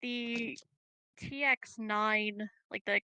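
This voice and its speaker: A woman's voice: fairly high pitch, steady tone, extremely slow, sharp tone, smooth, no echo, rough recording. A woman chatting casually as a player in a tabletop roleplaying game. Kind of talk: casual chat.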